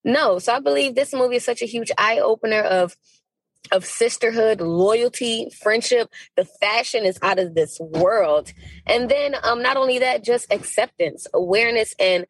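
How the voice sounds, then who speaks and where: An American woman from Miami speaking as a podcast guest in a casual interview, telling stories in an animated, emphatic, slangy way. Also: fairly high pitch, moderate pace, husky, dry recording, rough recording. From Miami, United States.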